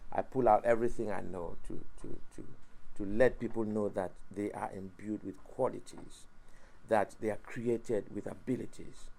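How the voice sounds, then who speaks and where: A man from Cameroon whose first language is French, speaking with a low, dark, gravelly voice. He talks in a preachy, exhortative way, stumbling into repeats and chuckling at his own lines. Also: steady tone, moderate pace. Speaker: interview guest, YouTuber and preacher. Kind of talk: motivational interview talk.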